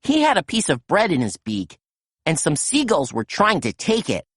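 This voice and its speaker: A woman reading a children's picture book aloud in a gentle, expressive storytelling manner. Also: fairly low pitch, normal speed, breathy edge, dry recording, clean studio sound. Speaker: storytime reader.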